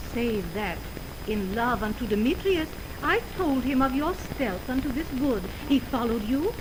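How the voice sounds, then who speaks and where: High-pitched, plaintive lovelorn young woman. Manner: theatrical, plaintive, declamatory. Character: tall, timid, lovelorn young woman, wounded and pleading. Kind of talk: 1920s radio Shakespeare acting. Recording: noisy, crackly 1929 radio film recording.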